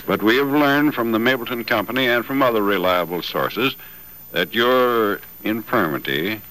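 Wry, skeptical builder, folksy old-time radio drama, low bright voice, vintage recording. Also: male voice, moderate pace, poor audio. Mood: wry, deliberate. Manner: folksy, dry, musing. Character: modest, wry builder in a wheelchair, skeptical and teasing.

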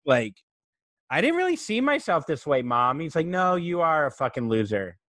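Animated man chatting loosely on a comedy podcast. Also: fairly high pitch, normal speed, no room sound, clean studio sound. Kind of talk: casual comedic podcast chat.

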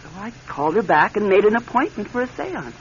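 A woman's voice: mid-range voice, very animated, fairly quick. A woman playing an anxious, affectionate wife in a classic radio drama, hesitant and emotional. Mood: confessing, evasive. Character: anxious, affectionate wife haunted by her late husband. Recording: dull, hissy old radio recording.

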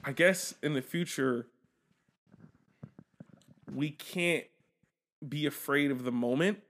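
A man co-hosting a podcast, talking in a casual, animated and profane way as he tells a story. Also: on the high side, slow pace. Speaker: podcast co-host. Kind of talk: casual chat.

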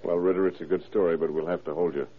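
An actor playing a gruff, probing police detective with clipped, dryly sarcastic delivery in a 1940s radio crime drama. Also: male voice, sits low, steady tone, fast. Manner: clipped, commanding, questioning. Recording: muffled, band-limited old radio recording.

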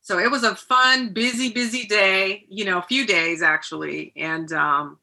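A woman's voice: medium pitch, measured pace, creaky, sharp tone. A woman answering conversationally as a hair and makeup artist being interviewed about film work.

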